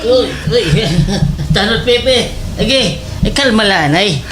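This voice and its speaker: A man playing a teasing, excitable villager in a slapstick radio drama, animated and full of questions. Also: high voice, slow. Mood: teasing, calming. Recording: noisy recording with ambience.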